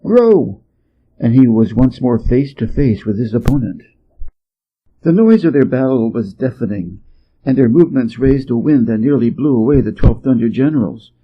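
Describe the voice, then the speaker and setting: A male storyteller narrates a storybook expressively. His mid-pitched voice is husky, and the recording is slightly dull and lo-fi. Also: moderate pace. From Hawaii, United States.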